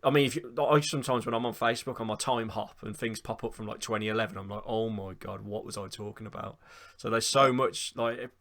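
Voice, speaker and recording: A man hosts a relaxed football podcast. He talks quickly and loosely in a chatty flow, with the odd casual swear. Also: lowish voice. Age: 20s. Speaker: podcast host.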